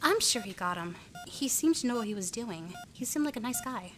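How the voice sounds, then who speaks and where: A woman playing a friendly, expressive young woman in an amateur radio play. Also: on the high side, brisk, natural voice. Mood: shaky, friendly.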